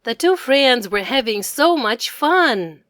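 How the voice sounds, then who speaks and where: A woman narrating a children's storybook expressively, addressing young listeners in a deliberate manner. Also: high-pitched, expressive, a bit bright, no echo, clean studio sound. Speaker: storybook reader.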